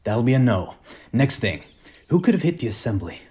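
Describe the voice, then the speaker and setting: A man's voice: mid-range voice, very animated, moderate pace, a little mellow, lightly acted. An actor playing a wounded, sarcastic superhero dad, a man, in a radio play. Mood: strained, wry.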